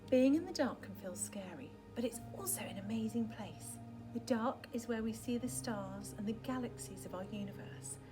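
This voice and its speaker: High-pitched woman reading a children's storybook. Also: lively intonation, normal speed, music in the background. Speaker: primary school teacher reading a picture book aloud.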